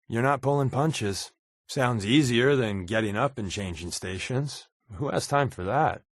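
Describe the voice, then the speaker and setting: A man playing a wry, sarcastic spy companion in a video game, with a deadpan, flippant delivery. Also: mid-range voice, dry recording, studio quality. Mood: dry, sardonic.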